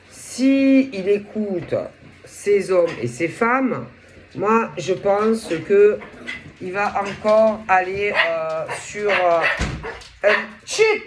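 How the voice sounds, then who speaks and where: A woman with a head cold and a sore throat, giving a casual tarot reading in a halting way, often searching for words. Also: mid-range voice, slight background noise. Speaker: tarot reader.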